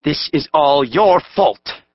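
Imperious fairy king for Shakespeare audiobook: urgent, declamatory, measured pace, very dry sound. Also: fairly high pitch, animated, slightly rough, dry recording, muffled recording, full character voice. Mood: annoyed. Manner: theatrical, declamatory. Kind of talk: full-cast Shakespeare audiobook acting.

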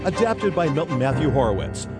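A man's voice: on the high side, sing-song, fairly quick. A man announcing audio drama performances in a scripted introduction style. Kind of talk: scripted show introductions over music. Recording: loud music behind the voice. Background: loud music.

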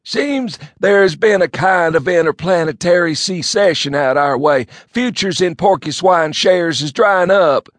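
A man's voice: high voice, measured pace, hardly any pauses, dry recording, voice pushed up. A man voicing a bumbling rural cousin in an audiobook, with a folksy, ungrammatical country drawl. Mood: hearty, folksy. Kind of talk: audiobook character voice.

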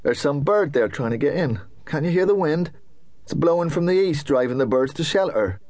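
A man's voice: fairly high pitch, animated, normal speed, a little mellow, no room sound, pitched above the performer's own voice, close to an everyday voice. A man voicing an agitated countryman, a husband and father, urgent and emphatic, in an audiobook. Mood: insistent.

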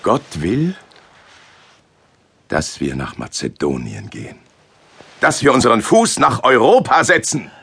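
An actor playing a fervent, devout missionary leader in a biblical audio drama, speaking earnestly and emphatically. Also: male voice, mid-range voice, big pitch swings, measured pace, creaky, pauses often, a bit bright, slightly rough, full character voice. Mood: awed, urgent.

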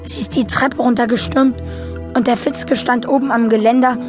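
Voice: mid-range voice, fairly even intonation, moderate pace, soft background music, lightly acted. A young performer playing a naive boy who narrates a German film audio drama in a simple, matter-of-fact way. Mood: earnest.

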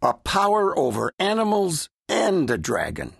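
An actor playing a gruff, irreverent sea captain with rustic, colloquial speech and a deadpan wit, in an audiobook. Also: male voice, high voice, unhurried, no room sound, full character voice. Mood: dry teasing. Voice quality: gravelly, husky. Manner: rustic, colloquial grammar, dry teasing. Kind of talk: full-cast audiobook character acting.

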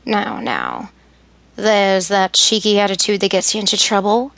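A woman voicing Charles, a stern, teasing young nobleman, in a slightly lowered, firm voice while reading a story. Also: very animated, unhurried, gravelly, a bit bright, no echo, heavily acted.

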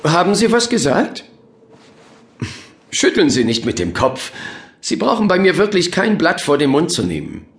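A man's voice: fairly high pitch, huge pitch swings, normal speed, pauses often. An actor playing a patronizing, glib and dismissive male doctor in a German radio drama, with a breezy manner. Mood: brisk, dismissive. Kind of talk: German audio drama acting. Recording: roomy echo, faint noise.

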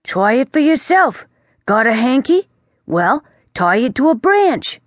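A woman voicing a streetwise male talking bat with a brisk, slangy way of talking. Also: high-pitched, very animated, no room sound, studio quality, voice pushed up, heavily acted. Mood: patient.

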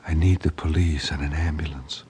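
A man voicing a badly hurt middle-aged woman who speaks in a faint, hushed whisper in a true-crime audiobook. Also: sits low, normal speed, breathy edge.

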